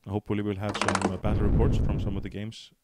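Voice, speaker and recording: A man's voice: lowish voice, monotone, quick pace. A man with an Icelandic accent giving casual commentary as a game co-commentator. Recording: loud keyboard clicks and noise. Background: typing and clicking.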